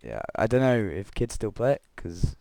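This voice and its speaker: A young man with a croaky voice from being unwell, rambling through gameplay commentary and a story, correcting himself often with chuckling asides. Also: lowish voice, big pitch swings, normal speed, darkish tone, no echo. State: croaky voice from illness. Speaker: Minecraft let's player.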